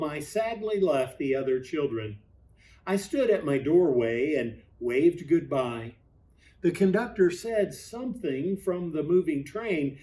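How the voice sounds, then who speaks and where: A man, a pastor, reads a children's storybook aloud expressively, with dramatic pauses. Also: fairly high pitch, dark tone.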